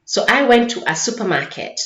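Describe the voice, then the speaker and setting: A woman's voice: on the high side, lively intonation, brisk, a bit bright. A woman with a Kenyan accent telling personal stories in a casual, chatty vlog style. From Mombasa, Kenya. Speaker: vlogger sharing personal experiences. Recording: roomy echo, dull lo-fi sound.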